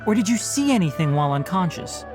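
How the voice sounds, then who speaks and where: A man playing a calm, gently stern young forest ranger mentor in an anime video game, speaking in a precise, explanatory way. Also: sits high, quick pace. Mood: inquisitive, brisk. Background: music.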